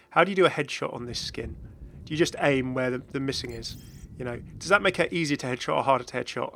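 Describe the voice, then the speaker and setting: A man's voice: mid-range voice, vocal fry, a bit bright. A man giving casual, off-the-cuff game commentary as a video host, chatty and rambling with enthusiastic asides.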